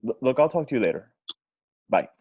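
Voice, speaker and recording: A man playing a harried, smooth-talking Hollywood studio boss in a horror script read at home. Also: medium pitch, sing-song, a little fast, a little mellow. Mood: placating, flustered. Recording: dull home video-call audio.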